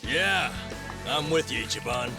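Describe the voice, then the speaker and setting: An actor playing a hearty, encouraging middle-aged sidekick in a video game drama, casual and animated. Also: male voice, mid-range voice, measured pace, creaky, music in the background. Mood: rousing. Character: hearty, encouraging buddy of the hero.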